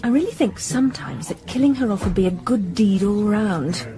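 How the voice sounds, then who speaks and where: Radio drama role: female actor as friendly young English working girl, polite, wry, soft warm tone. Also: medium pitch, animated, normal speed, lightly acted. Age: young adult. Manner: polite, gently teasing.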